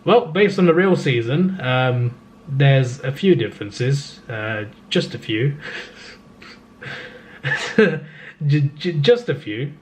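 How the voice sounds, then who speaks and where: A young Australian man, his voice going hoarse, casually reading through simulated footy results on his gaming channel and laughing at the odd scores. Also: mid-range voice, animated, takes it slowly, long pauses. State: losing his voice. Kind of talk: casual game-results recap.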